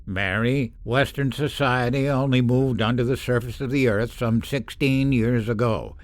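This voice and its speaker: A man's voice: medium pitch, measured pace, no echo, close to an everyday voice. An actor playing a cautious, protective middle-aged father who hesitates and admonishes, in an old-time radio drama. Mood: uneasy.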